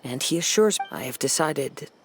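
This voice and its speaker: A man playing a gentle, poetic young wandering swordsman in a video game, speaking in a measured way. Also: fairly high pitch, animated, a little hiss or hum. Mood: calm, determined.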